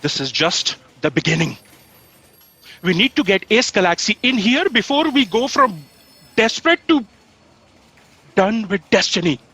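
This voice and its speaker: A woman playing an earnest, long-suffering copy aide in a comedic audio drama, deliberate and dry with an anxious urgency. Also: medium pitch, sing-song, fairly bright tone, slightly rough, full character voice. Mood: exasperated, urgent.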